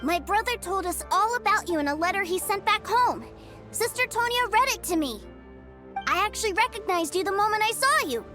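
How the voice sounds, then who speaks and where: A woman voicing a cheerful, sweet and eager little boy in a video game, who talks in an excited, childlike way. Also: extremely high pitch, a little fast. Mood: earnest. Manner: excited, childlike, wide-eyed. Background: game music.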